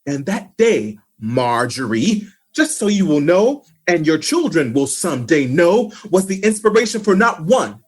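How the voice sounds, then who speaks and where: A man's voice: a little high, very animated, measured pace, husky, full character voice. A man playing a dramatic, devoted life coach in a comedic audio drama, with emphatic, grandiloquent enunciation. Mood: grandly proud.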